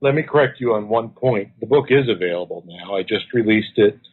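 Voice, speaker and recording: Low husky guy on a phone call, earnest, explains things at length. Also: fairly quick. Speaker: guest, activist and researcher. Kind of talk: interview answers. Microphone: phone line. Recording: tinny phone line.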